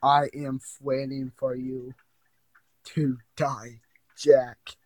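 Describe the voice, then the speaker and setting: A man voicing a menacing demonic hedgehog from a haunted video game, slow and threatening in a creepypasta story. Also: medium pitch, flat delivery, a little mellow, no room sound, pitched below the performer's own voice. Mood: quiet menace. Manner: slow, threatening, foul-mouthed.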